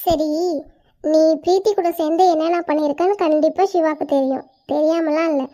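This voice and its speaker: A woman's voice: high-pitched, steady tone, a little fast, dark tone, natural voice. A woman voicing a caring, bossy young woman who comforts her friend firmly. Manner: soothing, firm.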